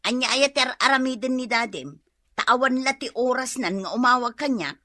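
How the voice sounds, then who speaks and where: A woman's voice: fairly high pitch, normal speed, sharp tone, no echo, studio quality. A woman playing a worried, weary mother working far from her family in a radio drama, plaintive and questioning. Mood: frustrated. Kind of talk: Ilocano comedy radio drama acting.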